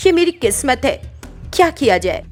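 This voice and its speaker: A woman's voice: high voice, very animated, moderate pace, faint music behind. A woman voicing a meek, sorrowful young daughter-in-law, submissive and plaintive. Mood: meek, apologetic.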